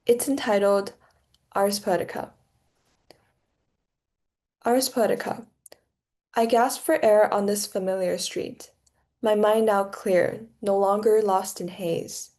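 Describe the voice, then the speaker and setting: A young woman from China, a poet, introducing and reading her own verse aloud in a measured, deliberate way. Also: medium pitch, steady tone, darkish tone. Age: young adult.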